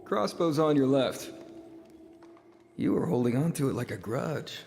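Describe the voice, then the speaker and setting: Grim demon hunter, echoey. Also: male voice, mid-range voice, expressive, measured pace, gravelly, a little mellow, slight background noise. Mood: dry, guarded. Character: grim, world-weary male demon hunter, protective and wry. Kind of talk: dramatic motion comic voice acting. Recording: echoey voice over music. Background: music.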